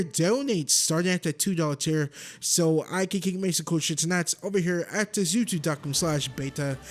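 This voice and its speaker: A man talking through a video game for his channel, rambling and chatty and often correcting himself mid-sentence. His voice sits a little high for a man. Game music plays faintly behind him. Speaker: gaming YouTuber. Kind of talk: casual gameplay commentary.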